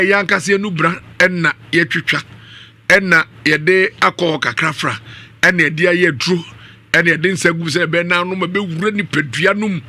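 Bright, forceful Ghanaian male radio host. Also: sits high, dry recording. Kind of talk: live radio talk show hosting with ad-libbed sponsor reads. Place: small radio studio. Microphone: studio condenser mic on boom arm, up close.

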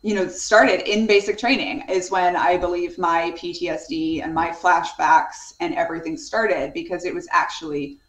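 A woman's voice: lowish voice, fairly even intonation, normal speed, hardly any pauses, a bit bright, echoey room, rough recording. A woman in her thirties, an author talking earnestly and reflectively as a guest on a video call from her home study. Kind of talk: conversational interview.

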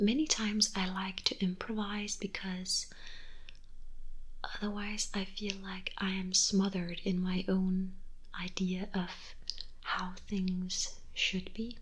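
A Danish woman in her twenties, whose first language is Danish, softly whispering answers to viewer questions in an ASMR video from her bedroom. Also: on the low side. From Denmark. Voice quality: breathy whisper. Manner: soft, slow, unhurried whispering. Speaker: ASMR creator. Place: bedroom, indoors.

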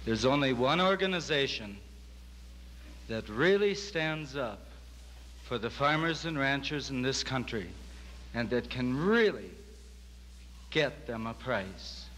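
A man's voice: medium pitch, expressive, takes it slowly, long pauses, fairly bright tone. A man from Minnesota, a board member who lost an election, giving an earnest, resolute convention speech. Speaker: defeated candidate, board member. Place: large convention hall. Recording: reverberant convention hall with hum.

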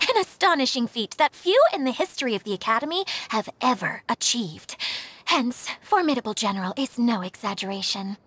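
A woman's voice: on the high side, expressive, fairly quick, fairly bright tone, breathy edge. A woman voicing an earnest, easily flustered young woman in a video game, formal and effusive. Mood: proud, admiring.

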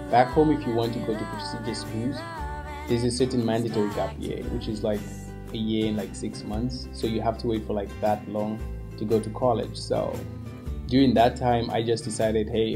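A man's voice: on the low side, moderate pace. A young man from Zambia, a college freshman, talking casually and chattily in an interview. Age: young adult. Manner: relaxed, chatty, lots of "like" and "you know". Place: indoor room. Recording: background music under voice.

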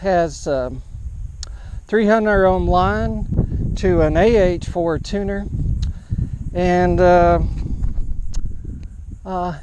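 A man narrating an outdoor setup vlog as an amateur radio operator, in a halting, matter-of-fact way. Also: high-pitched, background noise. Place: large open grassy park field, outdoors.

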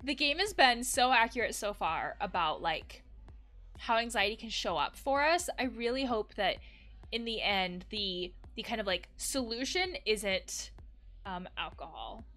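A woman's voice: on the high side, animated, unhurried, sharp tone. A woman in her thirties giving casual, reflective game commentary as a therapist host. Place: small indoor room.

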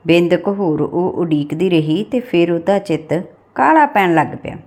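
A woman's voice: lowish voice, moderate pace, a little mellow. Woman narrating a story. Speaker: audiobook narrator. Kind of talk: scripted literary story narration.

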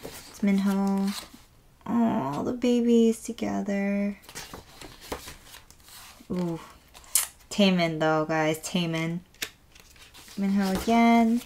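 A woman with a raspy voice today, chatting softly and gushing with lots of fillers as she unboxes an album at a table. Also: mid-range voice, drawn-out words, long pauses, a little hiss or hum. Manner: soft, rambling, gushing with many fillers. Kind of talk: casual unboxing chat. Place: indoors at a wooden table.

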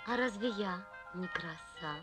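An actress playing a beautiful young maiden, coquettish and devoted, in a folk-tale cartoon. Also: female voice, medium pitch, unhurried, close to an everyday voice. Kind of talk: animated film dialogue. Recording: loud music over old film soundtrack.

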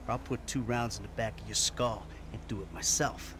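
A man's voice: medium pitch, steady tone, brisk, lightly acted. An actor playing a jaded, hot-tempered, wealthy middle-aged American man in a video game, sardonic, threatening and profane. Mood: cold menace. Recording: game audio over car and street noise.

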